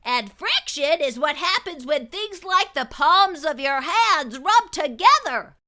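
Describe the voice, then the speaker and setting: Storybook role: clever, excitable pumpkin kid played by a woman, very high, slow and deliberate delivery. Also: heavily acted. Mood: eager, explaining. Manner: exclaiming, explaining eagerly. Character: excited, clever little pumpkin boy who loves science.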